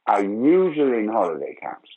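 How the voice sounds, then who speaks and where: Deep, husky older man. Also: huge pitch swings, moderate pace, narrow, tinny audio. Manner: wry, opinionated, emphatic repetition. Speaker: interview guest, actor, writer and director of pantomime. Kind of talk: conversational interview answers with anecdotes.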